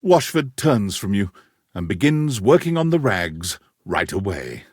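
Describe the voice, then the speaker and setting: Storyteller narrator for a game, breathy husky voice, really expressive, dry booth sound. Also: male voice, on the low side, a little slow, no room sound, exaggerated voice. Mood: warm, amused. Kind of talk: dramatic scripted game narration.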